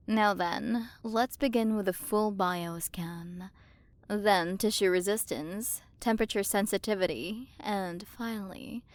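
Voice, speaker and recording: A woman's voice: medium pitch, a little mellow, no echo, natural voice. A woman playing a haughty, possessive alien queen and scientist who talks down in a commanding, teasing way, in an ASMR roleplay. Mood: menacing, teasing.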